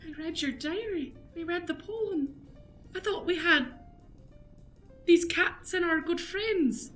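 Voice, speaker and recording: A woman's voice: very high voice, slow, fairly bright tone, faint music behind, heavily acted. A woman playing an eager young intern, a witch's indignant confidant, in an improvised comic radio play.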